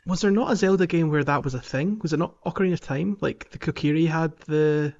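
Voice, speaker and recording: A man's voice: a little high, quick pace, darkish tone, dull audio. A man hosting a podcast, chatting casually with the others. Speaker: podcast host.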